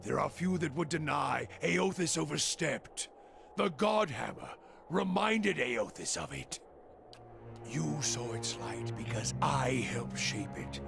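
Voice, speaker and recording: A fervent, scornful older priest from a fantasy game. His voice is gravelly and swings widely in pitch as he delivers solemn, archaic lines at a slow pace. Music plays beneath him. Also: full character voice. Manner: solemn, archaic, rhetorical phrasing. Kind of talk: video game character acting.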